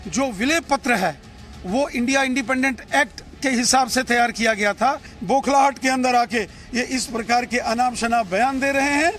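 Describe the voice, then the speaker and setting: Very high-pitched man, combative political soundbite. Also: moderate pace. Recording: news clip under loud music. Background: music.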